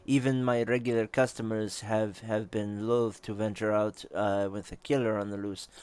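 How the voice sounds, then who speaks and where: A man's voice: lowish voice, flat delivery, normal speed, a little mellow, smooth, lightly acted. A man voicing a formal, regretful woman with a mock Russian accent in a tabletop roleplaying game. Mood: somber, regretful.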